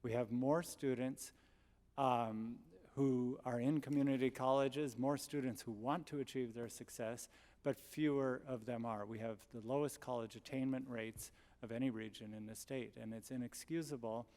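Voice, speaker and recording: A man giving formal, earnest testimony to a public board, speaking in measured, emphatic terms. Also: medium pitch, steady tone, a little mellow, in a large hall. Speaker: college district presenter. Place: large public meeting hall.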